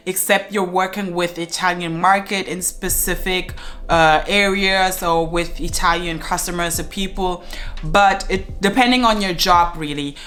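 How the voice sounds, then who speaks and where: A woman's voice: lowish voice, a bit bright. A young woman giving earnest, chatty advice to the camera from her living room, with many "uh" fillers. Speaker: language vlogger.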